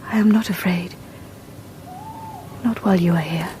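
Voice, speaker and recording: Gentle young English lady, played by a woman, noisy backdrop. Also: fairly low pitch, moderate pace, lightly acted. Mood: afraid, tender. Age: young adult. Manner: soft, polite, restrained. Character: gentle, nervous, well-bred young lady. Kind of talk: radio drama acting. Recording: clearly noisy ambient backdrop.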